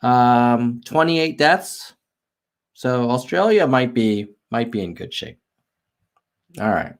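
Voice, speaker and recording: A man's voice: mid-range voice, lively intonation, takes it slowly. An American man hosting a casual livestream, thinking aloud over charts and reading viewer chat, with frequent ums and self-corrections. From United States. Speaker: livestream host. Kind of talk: casual data walkthrough on livestream.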